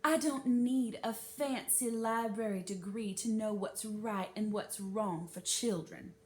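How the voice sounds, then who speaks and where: A woman's voice: takes it slowly, darkish tone, lightly acted. A woman voicing a prim, sweetly smug, insistent mother in a children's storybook reading, speaking emphatically. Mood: self-righteous. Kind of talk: children's novel read-aloud. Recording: noisy with low rumble.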